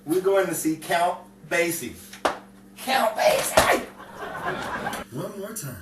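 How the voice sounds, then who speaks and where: A man's voice: sits high, animated, slow pace. A man playing a flustered, defensive driver in an old-time radio comedy, stammering and repeating himself. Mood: excited. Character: excitable, defensive, bumbling man driving a cramped car. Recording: roomy, distant, clearly noisy.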